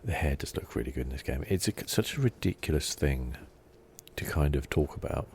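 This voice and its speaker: A soft-spoken man giving relaxed, rambling ASMR commentary as he plays a video game, thinking aloud. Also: a little fast, slight background noise. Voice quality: soft-spoken, low-key.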